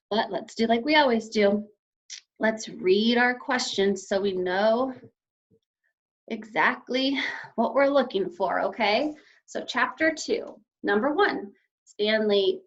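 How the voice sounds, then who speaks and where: A woman teacher reading a children's story aloud and explaining it to young pupils in an expressive way. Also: medium pitch. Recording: slightly dull, lo-fi sound.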